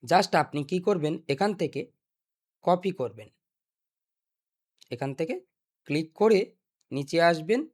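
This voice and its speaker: A young man guides listeners step by step as a tutorial presenter. His voice sits high for a man and moves up and down in an animated way. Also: unhurried, pauses often. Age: young adult. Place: small indoor desk studio. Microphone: desk microphone.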